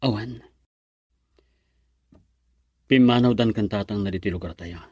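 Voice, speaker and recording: A man's voice: lowish voice, fast talker, dark tone, no echo, studio quality, natural voice. An actor playing a troubled, bashful, lovesick young man with a Filipino accent and hesitant delivery in a radio drama. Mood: dejected.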